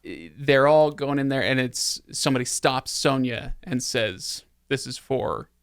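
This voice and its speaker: A man's voice: mid-range voice, animated, a little slow, clean tone, dry recording, studio quality. A man hosting a casual movie podcast with a wry, teasing manner. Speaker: podcast host. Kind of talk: casual chat about movies.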